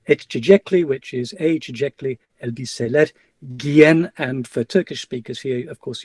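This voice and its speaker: A man's voice: mid-range voice, a little mellow, slightly rough, no echo. A middle-aged man giving an academic webinar lecture over a video call, speaking hesitantly with many fillers and restarts. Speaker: guest lecturer.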